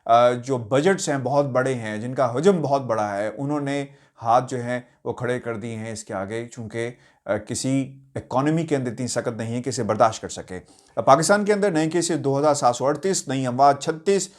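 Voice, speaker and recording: A Pakistani man speaks at a medium pitch as a news commentator. He addresses his viewers directly about politics, with deliberate emphasis. His delivery is halting, with hesitations between phrases.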